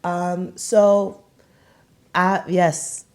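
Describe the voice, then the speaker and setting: A young woman from Brooklyn hosting a podcast, telling stories in a loose, animated way with lots of fillers. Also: on the low side, extremely slow. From Brooklyn, New York. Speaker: podcast host. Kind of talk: casual podcast chat.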